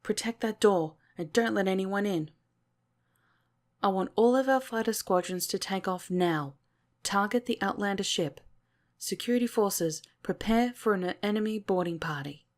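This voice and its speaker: A woman playing a commanding alien station captain in an ASMR audio roleplay, authoritative and measured. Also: medium pitch, natural voice.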